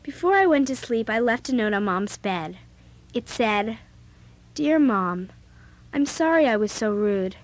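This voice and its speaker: Animated, high-pitched girl narrator. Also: measured pace. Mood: earnest. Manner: emphatic, youthful. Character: Kristy, spirited, outspoken girl babysitter. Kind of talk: first-person audio drama narration and dialogue.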